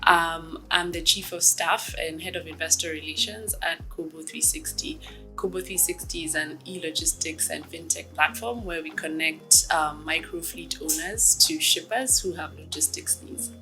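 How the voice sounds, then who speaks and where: A woman in her thirties from Kenya, a startup executive giving reflective, measured interview answers with frequent ums. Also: low-pitched, bright, forceful tone, faint music behind, low-quality recording.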